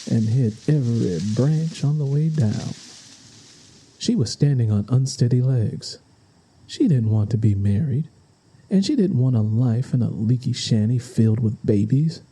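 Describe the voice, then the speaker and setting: A man narrating a dramatic novel in a measured, solemn storytelling manner. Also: mid-range voice, warm tone, slight background noise, rough recording. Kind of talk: scripted dramatic audiobook narration.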